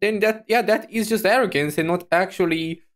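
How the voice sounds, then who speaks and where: A streamer giving casual reaction commentary in an animated, rambling, giggly way into a close microphone. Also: medium pitch, normal speed.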